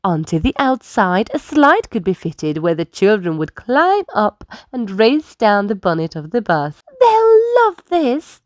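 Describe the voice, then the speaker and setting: Female storyteller for children's picture book: expressive, carefully phrased, very lively intonation, dry close recording. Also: mid-range voice, measured pace, hardly any pauses, dry recording. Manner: careful, animated phrasing for young listeners. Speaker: storyteller reading aloud.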